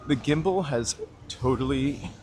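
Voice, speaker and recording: A man's voice: medium pitch, unhurried, darkish tone, slight background noise. A man chatting casually to viewers as he walks city streets, greeting them by name and pointing out sights. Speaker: live-stream walking vlogger. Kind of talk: casual live-stream chat to viewers. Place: outdoors on city streets, walking. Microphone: handheld gimbal camera mic.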